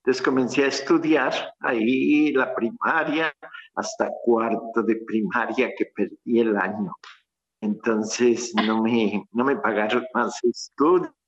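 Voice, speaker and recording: A man, a priest telling his life story in a radio interview, speaking haltingly and reflectively over a dull remote line. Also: mid-range voice, steady tone. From Colombia.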